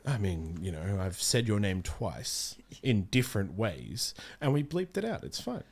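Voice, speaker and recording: A man's voice: fairly low pitch, sing-song, a little slow, slightly rough. A man chatting casually about video games as an interview guest, often circling back and correcting himself as he explains. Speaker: interview guest, amateur voice actor. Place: small indoor streaming room. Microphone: close studio microphone.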